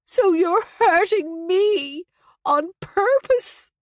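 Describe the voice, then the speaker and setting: A petulant middle-aged mother from a novel, acted by a woman narrator, pitched well up. She pleads in a wounded, drawn-out way, full of self-pity. The sound is close and dry. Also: lively intonation, a little mellow, dry recording, exaggerated voice. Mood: shrill self-pity.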